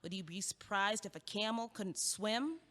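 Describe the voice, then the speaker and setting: A woman's voice: medium pitch, moderate pace, a bit bright, natural voice. A young woman playing an earnest, stubbornly logical, feisty student in an audio play. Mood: earnest, wry. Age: young adult. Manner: emphatic, argumentative. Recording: echoey hall with long reverb.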